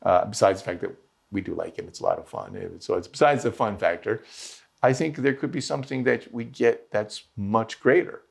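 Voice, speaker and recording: A man teaching swordsmanship, answering questions in a rambling, self-interrupting and lively way. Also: lowish voice, brisk, vocal fry, slightly rough. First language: English. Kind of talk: conversational Q&A answers.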